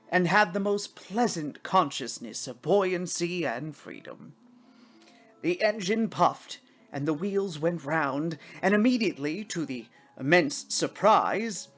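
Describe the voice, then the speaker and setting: Slow, low-voiced woman storyteller. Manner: deliberate, theatrical phrasing with pauses. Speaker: story reader. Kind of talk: dramatic scripted narration of a story.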